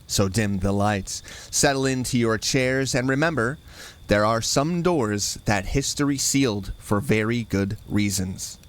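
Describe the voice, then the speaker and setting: A man reading a scripted, spooky campfire-style introduction as host, in a measured and dramatic storytelling manner. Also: medium pitch.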